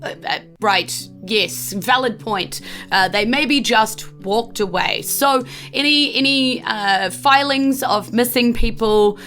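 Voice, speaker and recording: A woman's voice: fairly high pitch, slow pace, a bit bright, soft background music. A woman with a New Zealand accent voicing an evasive, flustered town speaker who stalls with constant ums and uhs. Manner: hesitant, full of "uh" and "um", stalling.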